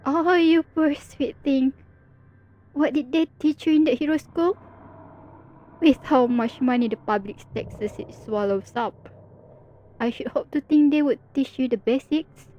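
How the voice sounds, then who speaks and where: A woman's voice: sits high, a little slow, dull audio. A woman playing a theatrical, playful supervillain in an ASMR roleplay, with a sarcastic, drawling, mock-dramatic delivery. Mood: wry, exasperated. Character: teasing, theatrical female supervillain, playful and world-weary.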